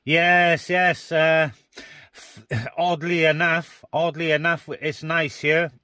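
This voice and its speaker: A man's voice: on the high side, little pitch movement, slow, bright, forceful tone, no room sound, clean studio sound. A man chatting casually as a CB radio operator, speaking inside a parked car. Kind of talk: casual two-way radio chat.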